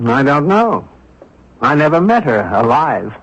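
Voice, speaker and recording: Shrewd radio-mystery amateur sleuth, dull recording. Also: male voice, a little high, big pitch swings, measured pace, full character voice. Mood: frank, firm. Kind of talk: radio mystery drama acting. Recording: dull, band-limited radio drama recording.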